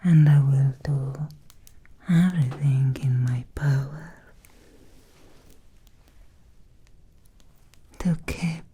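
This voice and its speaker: A woman voicing an obsessed, possessive Victorian vampire in a soft, deliberate ASMR roleplay whisper. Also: a bass voice, steady tone, breathy edge, exaggerated voice. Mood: possessive, menacing.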